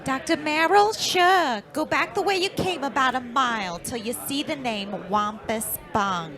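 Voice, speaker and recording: A woman's voice: high voice, lively intonation, a little slow, a bit bright, faint music behind. A woman playing a blunt, gossipy small-town post office clerk with a folksy manner in an old-time radio drama. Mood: matter-of-fact.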